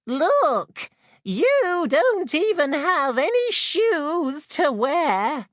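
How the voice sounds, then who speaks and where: Screechy, cruel stepsister. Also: female voice, sits high, big pitch swings, extremely slow, no room sound, clean studio sound, voice pushed up, full character voice. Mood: jeering. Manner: mocking, cackling. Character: shrill, cruel, mocking young stepsisters.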